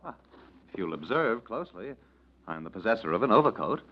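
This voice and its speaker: An actor playing a witty, penniless lodger with florid, mocking speech in a 1940s radio drama. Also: male voice, on the low side, sing-song, fairly quick. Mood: puzzled, suspicious. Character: witty, penniless male lodger, grandiloquent and sardonic. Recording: dull vintage radio recording.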